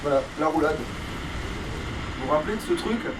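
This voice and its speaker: A man's voice: a little high, animated, normal speed, far from the mic, noisy background. A man giving casual let's play commentary with a friend, grumbling and swearing in a colloquial way. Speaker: let's player.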